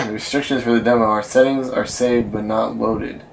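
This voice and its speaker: A man's voice: mid-range voice, steady tone, moderate pace, vocal fry, slight background noise. A man who makes music and vlogs, talking casually. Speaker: musician and vlogger. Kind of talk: casual vlog chat.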